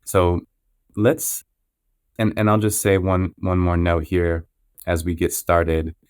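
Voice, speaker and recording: A middle-aged man hosting a podcast interview, speaking in a measured, earnest way with frequent restarts. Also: low-pitched, level tone, a little mellow, clear, resonant tone, no room sound, clean studio sound. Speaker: podcast host. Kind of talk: interview intro, earnest and reflective.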